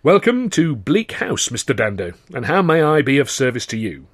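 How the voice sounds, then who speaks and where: Man voicing a fussy, obliging old clerk, high lifted voice, slow stretched words, dry sound. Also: very animated, dry recording. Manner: fussy, bright, stretched-out delivery. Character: fussy, eager-to-please older male shipping clerk.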